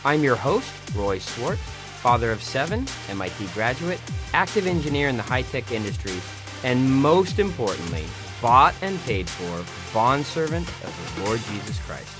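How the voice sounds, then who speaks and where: Middle-aged guy hosting a radio show, really lively pitch swings, music behind him. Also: moderate pace. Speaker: radio show host and interviewer. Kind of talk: radio interview with scripted introduction.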